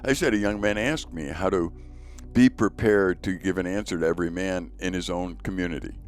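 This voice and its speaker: A man with a notably deep, low voice hosts a faith podcast, telling stories in an earnest, conversational way and often repeating words as he goes. Faint music plays softly underneath. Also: a little fast. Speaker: podcast host. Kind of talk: casual conversation.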